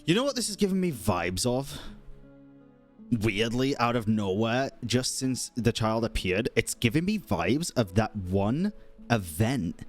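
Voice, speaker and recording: A man's voice: medium pitch, sing-song, unhurried. A man giving casual, rambling live reaction commentary as a game streamer, with muttered interjections. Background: game music.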